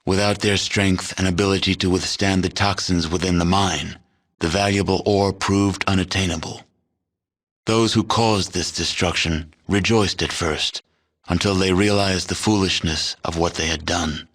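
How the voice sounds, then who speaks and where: A man playing a grave, solemn narrator of a post-apocalyptic video game, telling the tale in measured, deliberate scripted narration. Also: low voice, steady tone, fairly bright tone.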